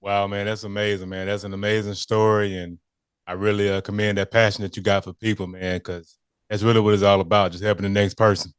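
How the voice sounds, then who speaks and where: Smooth low-voiced male podcast host. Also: level tone, a little fast, dry recording, clean studio sound. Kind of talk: casual interview chat.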